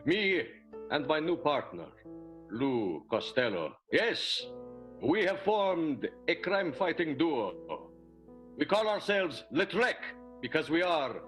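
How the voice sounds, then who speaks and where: A man's voice: on the high side, very animated, takes it slowly, fairly bright tone, soft background music, full character voice. A man playing a vain, melodramatic matinee idol in an improvised radio soap, speaking in a pompous, theatrical way. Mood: pompous, dramatic.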